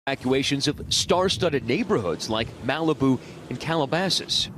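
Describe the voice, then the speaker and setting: A man's voice: mid-range voice, expressive, moderate pace, fairly bright tone. Male news reporter over faint street noise.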